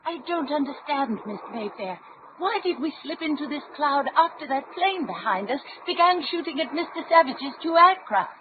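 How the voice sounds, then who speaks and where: An actress playing a determined, suspicious young lady, crisp and proper, in an old-time radio adventure. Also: high voice, lively intonation, moderate pace. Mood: questioning. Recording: dull old radio recording with faint hiss.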